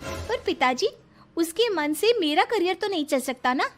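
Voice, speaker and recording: A woman's voice: very high voice, lively intonation, normal speed, faint music behind, pitched above the performer's own voice. A woman playing a wronged young daughter-in-law in a story, educated and defiant, with an emotional, protesting delivery. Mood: indignant. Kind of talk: dramatised Hindi moral story.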